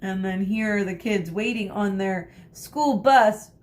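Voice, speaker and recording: A woman teacher reading a storybook aloud to students, adding explanatory asides in a deliberate way. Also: mid-range voice, a little mellow.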